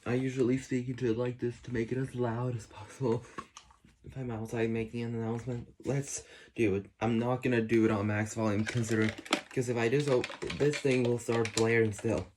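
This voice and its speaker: Male how-to host, mid-pitched, halting informal delivery, noisy indoor recording with clicks. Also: level tone. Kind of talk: casual hands-on demonstration. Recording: noisy room with clicks and handling sounds.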